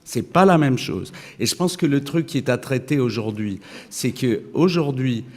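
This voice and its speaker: A man's voice: medium pitch, animated, normal speed. A male economist on a conference panel, answering firmly and insistently, repeating his points. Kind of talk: panel debate. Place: conference amphitheater. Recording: long hall reverb.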